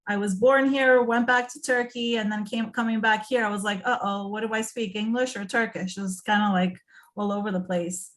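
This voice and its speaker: A woman moderating a panel, chatting casually with quick asides. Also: medium pitch, steady tone, fairly bright tone.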